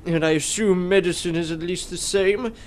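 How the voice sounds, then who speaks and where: A man's voice: sits high, normal speed, slight background noise, natural voice. A man voicing a boastful sixteenth-century knight who speaks in theatrical, archaic English in a radio play. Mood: bewildered. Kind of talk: amateur radio play acting.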